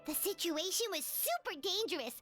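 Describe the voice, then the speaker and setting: A woman voicing a small, excitable fairy companion in a game who talks about herself by name and brags. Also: very high voice, moderate pace, heavily acted. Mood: chirpy. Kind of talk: game dialogue. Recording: game audio with music underneath.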